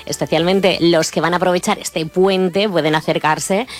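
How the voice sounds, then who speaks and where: A female radio co-host with a very low voice and a brisk, informative delivery. Faint music plays behind her. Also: steady tone.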